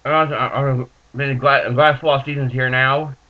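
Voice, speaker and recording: A man in his twenties with a stuffed-up nose, rambling in a laid-back, halting way as he talks to his webcam in a small room with bookshelves. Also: medium pitch, flat delivery. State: stuffed up from allergies. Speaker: vlogger reviewing dip tobacco. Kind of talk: casual webcam vlog. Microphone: built-in webcam mic. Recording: muffled lo-fi webcam audio.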